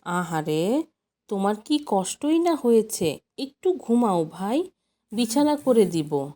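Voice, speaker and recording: A woman's voice: mid-range voice, sing-song, slow, warm tone. A woman voicing a sly, thieving male friend in a storybook tale, coaxing and falsely caring. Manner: coaxing, scheming.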